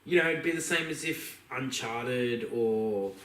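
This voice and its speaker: A man's voice: mid-range voice, measured pace, fairly bright tone. An Australian man co-hosting a podcast, chatting casually with emphatic opinions. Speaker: podcast co-host. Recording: echoey room, distant from mic.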